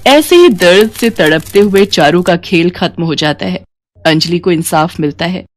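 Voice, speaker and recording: A woman's voice: on the low side, moderate pace. A woman narrating a scripted moral bedtime story as a storyteller.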